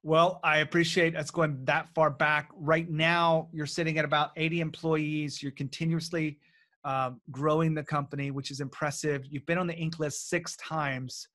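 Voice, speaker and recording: A man in his forties hosts a business podcast in a fairly high voice. He talks upbeat and directly to listeners, recorded on a studio mic in a home office. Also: level tone, moderate pace, fairly bright tone. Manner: upbeat, promotional, direct address. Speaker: podcast host. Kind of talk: podcast intro and interview. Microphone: studio mic on boom arm.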